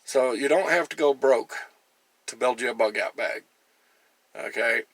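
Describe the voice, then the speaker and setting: A man's voice: medium pitch, measured pace, a bit bright, slight background noise, rough recording. A man talking casually through a product demonstration in a plain-spoken, folksy and chatty way. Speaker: gear reviewer and camper.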